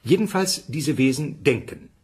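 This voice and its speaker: Raspy, animated male scientist. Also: mid-range voice, moderate pace. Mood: musing, emphatic. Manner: speculative, rhetorical questioning. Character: musing, emphatic male scientist of the future. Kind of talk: radio drama acting as a broadcast interview.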